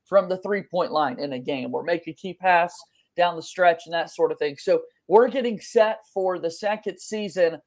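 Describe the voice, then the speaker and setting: A man's voice: sits high, moderate pace. A young adult man hosting a sports podcast in a conversational style over a video call. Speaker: podcast host. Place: small room at home, on a video call.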